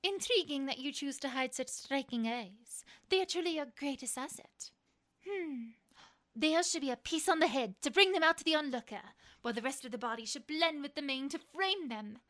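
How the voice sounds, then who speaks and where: A woman's voice: high voice, expressive, moderate pace, fairly bright tone. A woman playing a refined pony fashion designer in an audio story, poised, formal and appraising.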